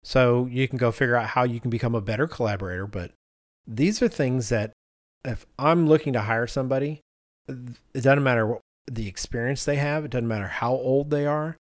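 A man's voice: medium pitch, brisk, dry recording, clean studio sound. A man hosting a conversational podcast, talking informally and thinking aloud as he goes. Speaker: podcast host.

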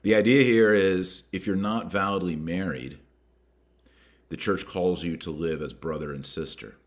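An American man hosting a podcast, reading and commenting in an emphatic, deliberate way that stresses key words and names. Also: deep voice.

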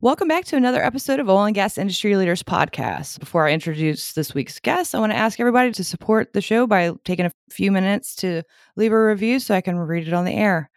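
A woman's voice: medium pitch, animated, fast, few pauses, no room sound, studio quality. A woman hosting an interview podcast, friendly and laughing along with her guest. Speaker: podcast host. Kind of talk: casual interview chat.

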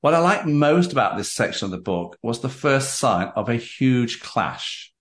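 A man's voice: medium pitch, sing-song, moderate pace, a bit bright, reverberant. A man hosting a book interview, speaking emphatically and repeating phrases and tag questions for effect. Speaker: host of a book talk show. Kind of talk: interview, discussing a book with its author.

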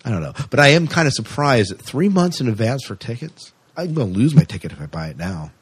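Guy hosting a casual podcast, kinda raspy low voice, really dry close sound. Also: big pitch swings, fast, dry recording. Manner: chatty, enthusiastic, asks his co-host questions. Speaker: podcast co-host. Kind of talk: casual sci-fi news chat.

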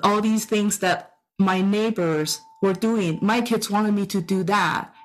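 A woman's voice: mid-range voice, slow pace. A middle-aged woman from Taiwan, a native Chinese speaker with a Taiwanese accent, tells personal anecdotes in an emphatic way, repeating phrases for effect. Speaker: storyteller giving a personal talk.

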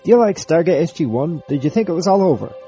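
A man's voice: on the high side, lively intonation, quick pace. A British man reading a scripted podcast promo as one of its hosts. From Britain. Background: music.

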